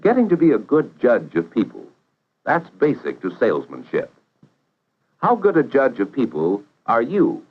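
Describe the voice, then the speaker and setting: Guy narrating an old 50s school career film, very up and down intonation, instructive, muffled old audio. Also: medium pitch. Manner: measured, instructive, poses questions to the viewer. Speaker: narrator of vocational training film. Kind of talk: 1950s educational film scripted narration. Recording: dull vintage 1950s film soundtrack.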